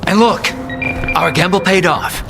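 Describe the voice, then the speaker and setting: An actor playing a condescending, smug scientist in a video game cutscene, speaking in a measured, deliberate and dismissive way. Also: male voice, sits high, gravelly, over music. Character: smug, condescending male scientist running secret experiments.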